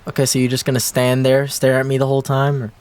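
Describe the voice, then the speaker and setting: A man acting a wistful young ex-boyfriend in an intimate roleplay audio drama, speaking casually and hesitantly, with pauses and trailing phrases. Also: mid-range voice, completely flat delivery, a little mellow, clear, resonant tone, close to an everyday voice. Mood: awkward teasing.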